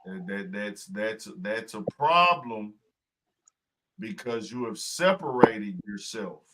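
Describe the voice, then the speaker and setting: A man hosting a podcast in casual chat, talking in a profane, emphatic, animated way. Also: fairly low pitch, takes it slowly, background noise. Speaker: podcast host.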